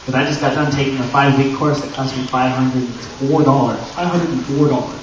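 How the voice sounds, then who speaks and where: A man's voice: mid-range voice, steady tone, brisk. A distant, muffled recording in a noisy, reverberant room carries a man presenting at a convention panel. He talks informally and directly to the crowd in a chatty, joking way, like a host running the session. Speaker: panel presenter and audio drama creator. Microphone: distant camera microphone.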